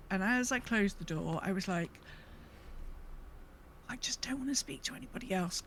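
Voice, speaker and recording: A woman chatting casually as a podcast host, telling stories in an animated way and acting out what people said. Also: mid-range voice, slight background noise. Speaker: veterinary clinic worker. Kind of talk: casual chat.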